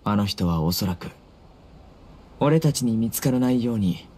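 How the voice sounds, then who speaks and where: A man's voice: fairly low pitch, animated, moderate pace, darkish tone, breathy edge. A man playing a stern, reserved young desert lawman in a video game, terse, formal and stoic. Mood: guarded.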